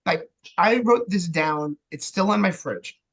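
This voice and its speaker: A man's voice: sits high, measured pace, fairly bright tone. A man speaking casually as an interview guest, in a conversational, joking way. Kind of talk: casual chat.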